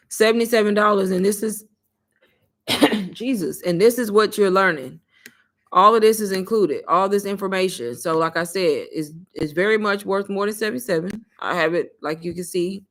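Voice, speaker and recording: A woman hosting a casual livestream, pitching an online course and taking viewers' questions in a chatty, repetitive way while calling her viewers "y'all.". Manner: chatty, repeats herself, addresses viewers as "y'all".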